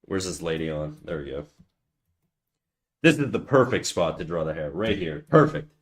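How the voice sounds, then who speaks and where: A man's voice: very deep voice, big pitch swings, normal speed. A man chatting casually on a livestream while he draws. He rambles, swears freely, mocks himself and often restarts his sentences. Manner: rambling, profane, self-mocking, with frequent restarts. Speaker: livestreamer and artist.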